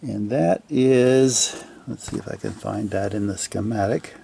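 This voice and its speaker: A man with a deep-leaning, gravelly voice talks through a hands-on repair in a casual, unscripted way. He speaks haltingly, thinking out loud and often letting phrases trail off. There is plenty of vocal fry in his delivery. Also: slight background noise. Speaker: hobbyist repairer presenting his own fix.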